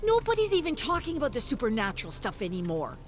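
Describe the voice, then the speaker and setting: Woman playing a defiant, animated female TV host. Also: a little high, fast talker, pitched above the performer's own voice. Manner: challenging, guarded. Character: defiant, wary female TV talk-show host. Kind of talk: dramatic game story reading. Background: music.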